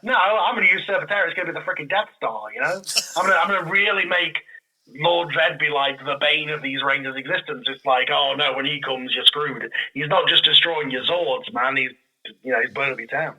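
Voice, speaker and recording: Bright, forceful man in animated chat. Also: fairly high pitch, brisk, poor audio. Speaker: guest, audio drama creator. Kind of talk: casual enthusiastic chat.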